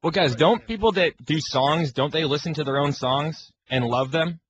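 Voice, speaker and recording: A man's voice: a little high, moderate pace, dull audio, a little hiss or hum. Wry male radio show regular. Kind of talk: casual sports radio chat.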